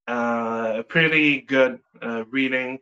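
Israeli man, native Hebrew speaker, slow and halting, bright tone, muffled lo-fi sound. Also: medium pitch, fairly even intonation. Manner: halting, many restarts and fillers. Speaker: guest. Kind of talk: casual interview chat.

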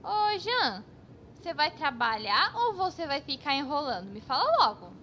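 A woman's voice: high-pitched, sing-song, moderate pace, pushed and bright, slight background noise, full character voice. A woman playing a bossy, exasperated young painter in a cartoon comedy, scolding in a Brazilian accent. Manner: scolding, exclamatory. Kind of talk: cartoon sketch comedy dialogue.

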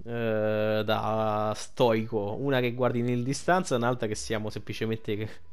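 A man's voice: on the low side, little pitch movement, unhurried, clear, resonant tone. A man giving casual, rambling, sweary game commentary as a streamer. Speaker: gaming streamer.

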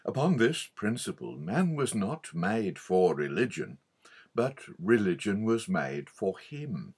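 A man reading an old theological book aloud as an audiobook narrator, in an expository manner with a husky voice. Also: fairly low pitch, sing-song, slow pace, a little mellow. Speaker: audiobook reader.

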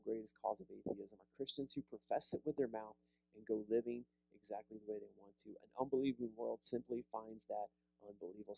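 A pastor in his thirties preaching a sermon, seated with a handheld mic, animated and conversational, often repeating words as he restarts. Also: lowish voice, creaky, dry recording, rough recording. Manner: animated preaching with big hand gestures, rising loud on emphasis. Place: church fellowship hall, seated on a stool.

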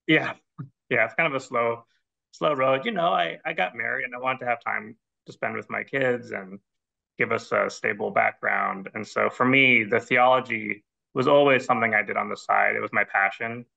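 A man's voice: mid-range voice, normal speed, vocal fry, bright, forceful tone. A man in his thirties, from the Netherlands, speaking with an American accent as an interview guest over a video call, earnest and explanatory. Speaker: guest scholar. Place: plain indoor room. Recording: dull lo-fi video call audio.

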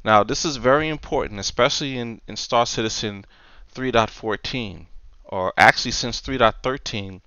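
A man presenting a software tutorial unscripted, explaining step by step in a halting way with pauses and repeats. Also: medium pitch, clear, resonant tone, no echo, dull audio. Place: indoors, dry voice-over for screen recording.

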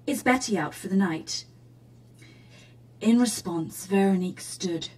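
Husky, awkward woman romance novelist. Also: fairly low pitch, darkish tone, low-quality recording. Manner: nervous, self-correcting, earnest.

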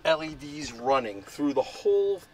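A man's voice: fairly high pitch, lively intonation, normal speed, a little hiss or hum. Man eagerly explaining his trailer outdoors. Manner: enthusiastic, explains gear hands-on with many restarts. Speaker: trailer owner. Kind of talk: casual show-and-tell interview. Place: outdoor campground beside a trailer.

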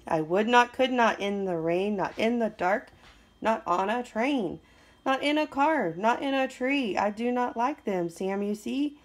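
A woman's voice: on the high side, expressive, measured pace. A woman voicing a stubborn, grumpy male storybook creature with emphatic, insistent refusals. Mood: exasperated, insistent.

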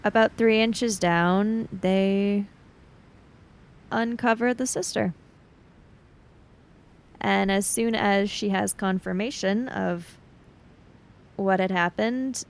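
A woman improvising narration in a roleplay game, with halting, deliberate phrasing. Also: mid-range voice, steady tone, creaky. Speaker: tabletop roleplay player.

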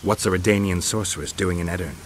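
An actor playing a terse, skeptical monster-hunting swordsman in a video game, gravelly and dry, speaking in clipped phrases. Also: deep voice, fairly even intonation, fast, slight background noise, lightly acted. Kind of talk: game dialogue acting.

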